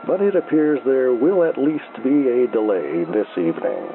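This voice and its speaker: A man playing an unflappable small-town baseball radio announcer, calling play-by-play in an unhurried deadpan over a noisy, thin AM broadcast. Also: mid-range voice, phone-quality sound, lightly acted. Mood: deadpan calm. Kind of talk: slow old-time baseball radio play-by-play.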